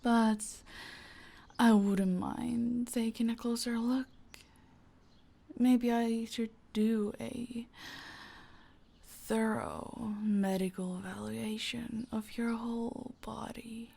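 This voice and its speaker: A woman playing a possessive, teasing mafia boss in an intimate audio roleplay, commanding and often dropping toward breathy whispers. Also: on the high side, fairly even intonation, takes it slowly, pauses often, mellow, full character voice. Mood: teasing, dominant.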